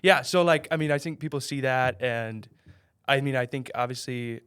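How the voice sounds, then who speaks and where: Smooth-voiced young man, hesitant. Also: mid-range voice, moderate pace, no echo, clean studio sound. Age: 20s. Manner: soft-spoken, hedging with many fillers. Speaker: real estate investor guest. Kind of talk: casual interview answers.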